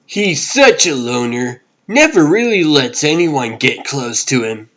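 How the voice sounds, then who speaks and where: A man's voice: mid-range voice, big pitch swings, a little slow, a bit bright, rough recording. A man playing a cheerful, breezy, chatty boyfriend in a comic book dub. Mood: breezy, upbeat.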